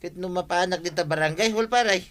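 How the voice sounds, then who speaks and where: A broke grumbly tricycle driver, ilocano accent, highish sharp voice, whiny radio comedy acting. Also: male voice, a little fast. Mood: insistent. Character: broke, complaining male tricycle driver, lazy and pleading.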